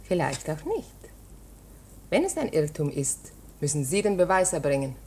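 An actress playing a flirtatious, chatty wife of a court usher in a radio drama, colloquial and coaxing. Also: female voice, low voice, sing-song, normal speed, dark tone, slightly rough, full character voice. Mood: flirtatious, confiding.

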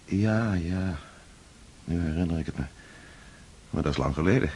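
A man's voice: low-pitched, moderate pace, darkish tone, a little hiss or hum. An actor playing a pensive, absent-minded nobleman who speaks in a musing, hesitant way. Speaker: Pierre, a nobleman. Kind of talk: radio drama acting.

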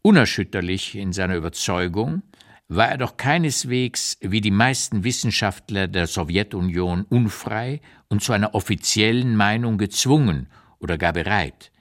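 An actor as deep-voiced elderly memoirist, expressively measured. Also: male voice, lightly acted. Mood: admiring, measured. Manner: measured, emphatic, carefully articulated with rhetorical pauses. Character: 85-year-old writer looking back on his exile years, reflective and candid. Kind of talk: literary reading in a radio play, scripted memoir narration.

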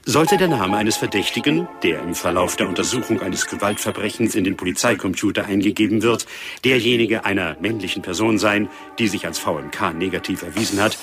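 A man's voice: fairly low pitch, lively intonation, brisk, a bit bright, faint music behind. An actor playing an impersonal male computer information announcer, narrating in a neutral, official manner in a radio drama.

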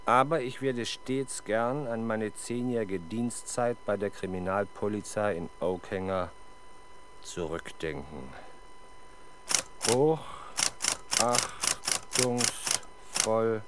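An actor playing a world-weary, dutiful police inspector in a 1970s German radio crime drama, formal and halting in manner. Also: male voice, fairly low pitch. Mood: weary, resigned. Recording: 1970s radio drama, clear background noise.

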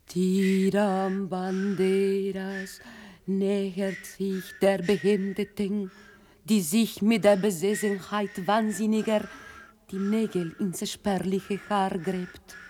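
An actress narrating a dark radio drama in a deliberate, dramatic manner, in short measured phrases. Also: female voice, medium pitch, flat delivery, a little hiss or hum, lightly acted. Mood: tense. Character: grave female narrator of a dark tale. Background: music.

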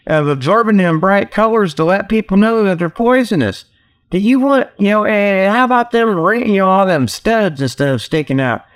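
A man hosting a comedy show, giving a rambling, folksy monologue full of mock sales pitches. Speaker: host of a comedy talk show.

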